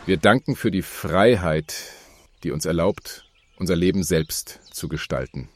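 Deep gruff-mannered handyman actor, blunt and grumpy, radio play. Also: a little slow, a little hiss or hum. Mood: solemn. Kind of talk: German audio drama dialogue.

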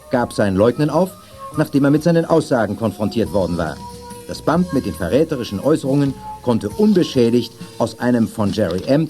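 A man acting a jovial middle-aged dad in a radio play for children. He has a warm, medium-pitched voice that swings widely as he declaims with mock pomp, with faint music behind. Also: natural voice. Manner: theatrical, playfully ceremonious delivery. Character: jovial, mock-pompous father addressing family and guests.

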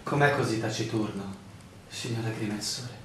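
A man playing a measured, consoling village official who speaks softly in a radio drama. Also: lowish voice, natural voice. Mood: quietly consoling. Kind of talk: theatrical radio drama acting. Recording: very echoey, distant, noisy room recording.

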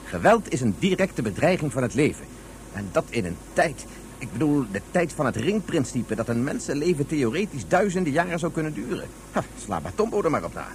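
Dismissive lecturing father, sci-fi radio drama, lively up and down voice, bit of noise. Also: on the high side, brisk. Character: opinionated, impatient father, principled federation loyalist. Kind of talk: Dutch science fiction radio drama acting.

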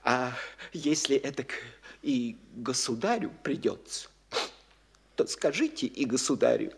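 An actor playing a fussy, self-important small-town landowner in a radio play, fawning and excitable, tripping over his words. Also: medium pitch, a little slow, gravelly, slightly rough, heavily acted. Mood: fawning, eager. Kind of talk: classic radio theatre acting.

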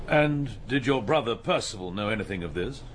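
An actor plays a police inspector in a radio drama, courteous and probing. His voice is fairly low and nicely varied, with clear ambient noise behind it. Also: lively intonation, normal speed, close to an everyday voice. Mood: polite, probing.

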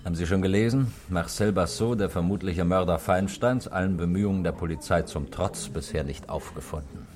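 A man's voice: low-pitched, a little fast, few pauses, darkish tone, natural voice. A man acting an urbane, evasive bank clerk and café regular in a 1960s radio play, nonchalant and wry.

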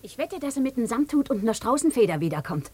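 An actress playing a catty, self-satisfied village gossip in a radio play, with a snide, knowing manner. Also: female voice, a little high, big pitch swings, quick pace, darkish tone, slightly rough. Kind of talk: radio drama dialogue.

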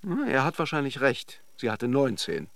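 Radio drama lover: teasing, reflective charmer, highly animated delivery, dry sound. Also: male voice, moderate pace, no room sound. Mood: teasing, flirtatious. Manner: teasing and joking, musing when alone. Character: playful, flirtatious Russian philanderer, charming but privately reflective. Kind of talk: radio drama acting in intimate dialogue and monologue.

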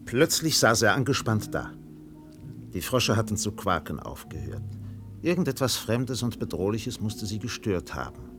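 Radio play narrator: grave literary storytelling, highly varied pitch, light music bed underneath. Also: male voice, big pitch swings, moderate pace. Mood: grave, suspenseful. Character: grave male literary narrator of a tropical tale.

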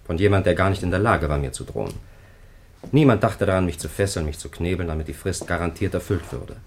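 An actor playing a steadfast, reticent chaplain, speaking in a grave and measured way in a 1950s radio drama. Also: male voice, low voice, a little hiss or hum. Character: male chaplain, steadfast, reticent, quietly stubborn.

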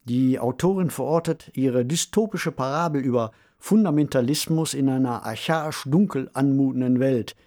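A man's voice: mid-range voice, moderate pace, hardly any pauses, warm tone. A man presenting a podcast in scripted, well-formed narration.

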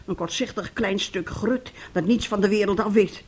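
An actress playing a domineering, bitter middle-aged mother in a radio play, husky and sharp-tongued. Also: medium pitch, moderate pace, close to an everyday voice. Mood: indignant, scolding. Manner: scolding, sharp-tongued, self-righteous.

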